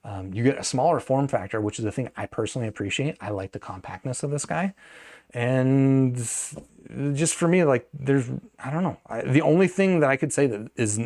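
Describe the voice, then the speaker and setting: A man's voice: mid-range voice, a little mellow. Casual man reviewing audio gear. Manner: conversational, opinionated, hedging with asides. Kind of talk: casual product review, talking off the cuff.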